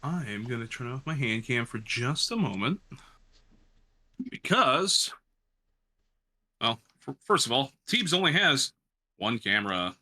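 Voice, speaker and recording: A man's voice: mid-range voice, sing-song, a little slow, long pauses, bright, forceful tone. A man who works in radio, cooking along in his home kitchen and chatting in a rambling, self-deprecating way. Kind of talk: casual chat while cooking. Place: home kitchen with gas stove.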